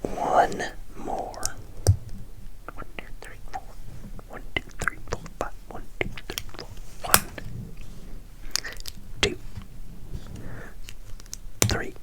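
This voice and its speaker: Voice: very slow, long pauses. A host whispering breathily in a casual, rambling ASMR style, playfully muttering and repeating phrases while putting something together. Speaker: host of a build-along video.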